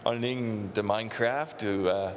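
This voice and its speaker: A priest whose first language is English, speaking French with an English accent, giving a homily to children in a halting manner and slipping English words in. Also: male voice, fairly low pitch, steady tone, long reverb tail, a little hiss or hum.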